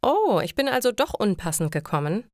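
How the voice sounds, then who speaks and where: A woman's voice: mid-range voice, very animated, brisk, darkish tone, no echo, studio quality, voice pushed up. A woman voicing a pushy, easily offended male friend in a story for language learners. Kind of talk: German learner story dialogue.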